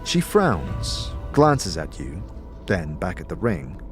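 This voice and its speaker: A man's voice: low-pitched, huge pitch swings, slow, music in the background. A man narrating a fantasy audio drama in a descriptive, dramatic storytelling style with shifting emphasis. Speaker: narrator and game character in an audio series.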